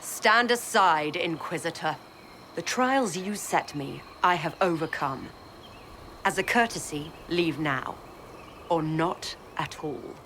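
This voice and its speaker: A slow, imperious villainess, low-voiced. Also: female voice, bright, forceful tone, slight background noise, heavily acted. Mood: cold, imperious. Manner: imperious, deliberate, disdainful. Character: cold, proud female zealot commander, a villain. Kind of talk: dramatic video game acting.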